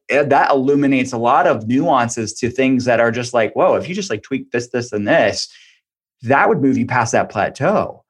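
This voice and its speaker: Male podcast guest, medium pitch, informal expert explainer in relaxed conversational phrasing. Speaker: functional medicine doctor, podcast guest.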